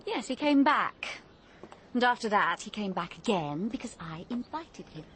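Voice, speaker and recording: A woman's voice: mid-range voice, sing-song, a little slow, a bit bright. A young daughter in love, defiant and easily wounded. Her argumentative voice swings expressively, in a radio drama recording with noise behind it. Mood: defensive. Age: young adult. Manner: stubborn, argumentative.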